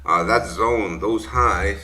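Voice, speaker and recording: Bright-voiced man. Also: on the low side, takes it slowly. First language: Spanish. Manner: rambling, many fillers and self-corrections. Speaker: trading session host. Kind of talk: casual live-stream market commentary.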